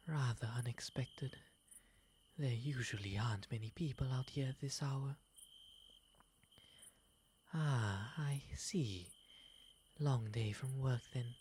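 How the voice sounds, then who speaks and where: A woman voicing a gentle, refined male owl demon in an ASMR roleplay, softly and breathily, mostly whispering, with formal, courteous phrasing. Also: very deep voice, slight background noise, exaggerated voice. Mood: apologetic, gentle.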